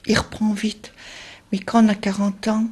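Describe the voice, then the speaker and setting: A woman's voice: mid-range voice, little pitch movement, normal speed, a little mellow, breathy edge. A middle-aged woman from Belgium speaking reflectively and hesitantly in a documentary interview.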